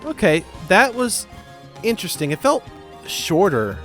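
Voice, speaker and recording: A man's voice: high voice, sing-song, a little slow, a bit bright, soft background music. A man hosting a game playthrough, chatting casually in a joking, playful way. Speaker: gaming video host.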